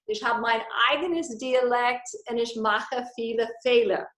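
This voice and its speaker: Enthusiastic Texan woman, American accent, slightly high voice, recorded in an echoey room at home; coaches in learner's German. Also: unhurried, a bit bright. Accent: American English. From Texas, USA. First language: English. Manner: enthusiastic, mixes English into imperfect German. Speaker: business coach. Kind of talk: live webinar presentation. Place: her home, indoor.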